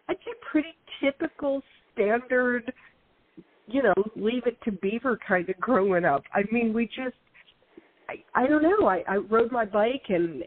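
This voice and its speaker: A woman in her late fifties with an American accent, chatting casually as a guest author about her own life. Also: fairly high pitch. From United States, Ohio and North Carolina. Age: late 50s. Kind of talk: casual chat, personal recollection. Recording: tinny phone-like line.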